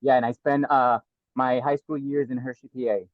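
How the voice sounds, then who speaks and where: A man's voice: mid-range voice, level tone, moderate pace, no room sound. New man, dry muffled audio. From New York (South Bronx). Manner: earnest, reflective, repeats words as he thinks. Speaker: guest. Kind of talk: casual interview talk.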